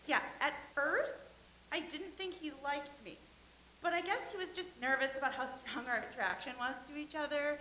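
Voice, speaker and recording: A gushing, naive young actress, played by a woman, talks about herself with giggles. Her voice is high and bright, and the room rings with echo. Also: moderate pace, a little hiss or hum. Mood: dreamy. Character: vain, starry-eyed young stage actress, woman. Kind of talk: staged play reading. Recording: echoey room.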